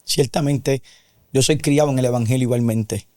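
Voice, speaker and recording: A man's voice: medium pitch, fairly even intonation, normal speed, a little mellow. A man giving a personal religious testimony as an interview guest, earnest and a little preacherly.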